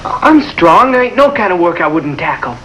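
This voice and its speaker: A man's voice: high voice, very animated, moderate pace, sharp tone, heavily acted. An actor playing an earnest, stubborn young country boy with a folksy, plain way of talking in a 1950s TV drama. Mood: determined. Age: teenager. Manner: folksy, plain-spoken, determined. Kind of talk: 1950s American television drama acting. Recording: noisy old 1950s television soundtrack.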